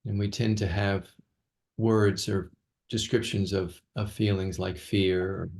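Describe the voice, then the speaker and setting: A man leading an unscripted guided meditation as a teacher, speaking haltingly with long pauses and repeated words. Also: low voice, level tone, darkish tone.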